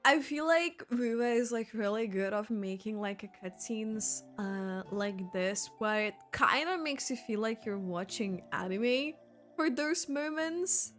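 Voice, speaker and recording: A woman reacts casually to a game on her livestream. Her voice is bright and fairly high, and she talks slowly in a chatty, expressive, halting way. Game music plays underneath.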